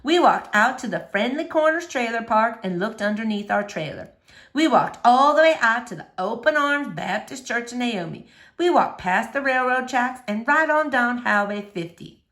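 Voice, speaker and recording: A woman reading a children's novel aloud as expressive story narration. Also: fairly high pitch, moderate pace. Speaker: storybook reader.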